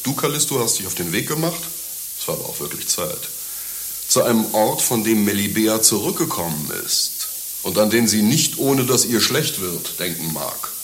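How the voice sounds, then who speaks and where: A man's voice: lowish voice, sing-song, moderate pace, a bit bright. A man playing a mocking, mercenary servant in a satirical radio play, lecturing his friend with crude, pedantic condescension. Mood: mocking lecture. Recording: roomy, with faint hiss.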